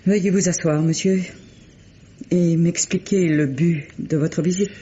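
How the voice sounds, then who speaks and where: An actress playing a proud, anguished lady of high society, formal and tragic, in old French radio drama style. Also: fairly even intonation, a little slow, warm tone, breathy edge. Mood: guarded, formal. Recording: dull lo-fi vintage radio recording.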